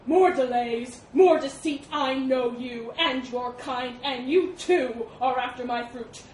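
A woman's voice: fairly high pitch, fairly even intonation, slow pace. Woman putting on a haughty, menacing bull elephant, roomy sound. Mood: furious. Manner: cold, haughty, threatening. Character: proud, menacing, paranoid male elephant, huge and bullying. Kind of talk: animal fable storytelling. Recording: roomy, distant mic with background noise.

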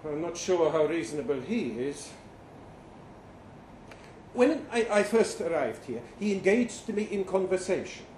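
A man performs an earnest, bewildered time-travelling professor in a story reading. His voice is high and soft-edged, with formal, precise phrasing that halts and hesitates. It sounds like a live reading in a roomy space. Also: lively intonation. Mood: earnest, measured. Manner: formal, hesitant, precise diction with halting pauses. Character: earnest, bewildered male professor claiming to be from the future. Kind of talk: dramatic first-person short story reading. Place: indoor live literary reading event. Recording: roomy live venue, faint background noise.

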